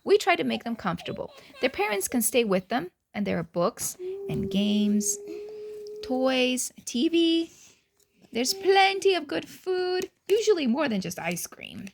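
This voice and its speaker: Mom doing a gentle bear doctor, lively and a bit gravelly. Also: female voice, sits high, measured pace, noisy background, voice pushed up, heavily acted. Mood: kindly. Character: kind female bear doctor, calm and helpful.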